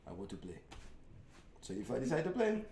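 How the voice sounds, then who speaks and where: A man's voice: medium pitch, wildly swooping pitch, a little fast, vocal fry, warm tone, a little hiss or hum. A man, co-hosting a casual sports talk show, teasing and playful, often asking "you know what I mean?". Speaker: podcast co-host. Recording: echoey room, mic at a distance.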